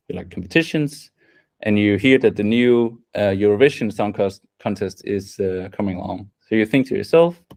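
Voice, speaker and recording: A young man leads a technical workshop, his voice sitting a little low. He talks casually and thinks out loud, with plenty of ums and restarts. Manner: casual, thinks aloud with many fillers and restarts. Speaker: workshop presenter. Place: event room.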